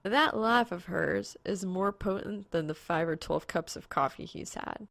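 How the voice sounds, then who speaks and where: A woman's voice: lowish voice, measured pace, creaky, a bit bright, no room sound. A woman reading fan fiction aloud as a scripted story narrator. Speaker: podfic narrator.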